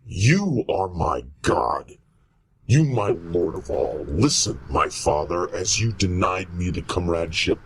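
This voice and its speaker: A man playing a menacing, vengeful monster in a horror radio play, speaking huskily with slow, ominous deliberation. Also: low voice, animated, a little hiss or hum, full character voice. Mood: bitter, sorrowful. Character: menacing male monster, vengeful creation tormenting its maker. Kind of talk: amateur radio-play style horror acting.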